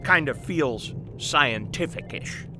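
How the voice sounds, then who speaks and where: An actor playing a demanding, self-important newspaper editor with a curt, commanding manner in an audio drama. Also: male voice, fairly high pitch, lively intonation, takes it slowly, background noise. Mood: smooth, persuasive. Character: brusque, demanding male newspaper editor boss.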